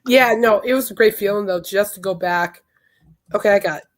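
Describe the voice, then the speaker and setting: A woman hosting a podcast in casual rambling chat, her voice hoarse and raspy from being sick, telling stories with enthusiasm. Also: mid-range voice, a little fast. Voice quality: raspy, worn throat. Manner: chatty, enthusiastic storytelling. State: hoarse, voice shot from illness. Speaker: podcast host.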